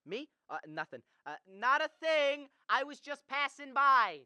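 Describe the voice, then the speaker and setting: A woman's voice: sits high, big pitch swings, unhurried, bright, forceful tone, no room sound, full character voice. A young woman playing an awkward, defensive, stubborn young man in a school radio drama, hesitant and stammering. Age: young adult.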